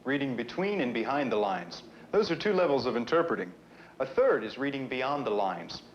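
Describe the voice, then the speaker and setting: An actor playing a chatty explainer, presenting an educational film in a conversational, scripted way. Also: male voice, medium pitch, very animated, normal speed, slight background noise, lightly acted. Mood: explaining. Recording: muffled 1970s film soundtrack with music.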